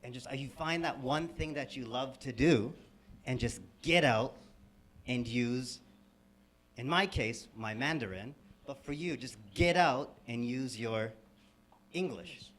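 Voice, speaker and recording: A man's voice: mid-range voice, measured pace. Enthusiastic man, distant in a hall. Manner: enthusiastic, repetitive, drops to quiet asides. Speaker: audience member, language program founder. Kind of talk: casual sharing from the audience. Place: event hall.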